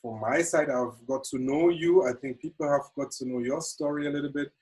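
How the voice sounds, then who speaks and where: A man's voice: fairly high pitch, a little fast, a little mellow. A man interviewing a guest in a friendly way, asking loose, rambling questions.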